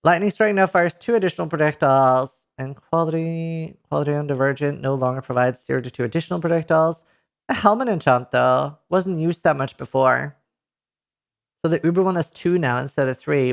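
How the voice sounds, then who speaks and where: Norwegian-accented streamer reacting excitedly. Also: male voice, a little high, a little fast, gravelly. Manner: excitable, swears casually, talks to chat. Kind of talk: reading patch notes live with reactions. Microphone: headset mic at a desk.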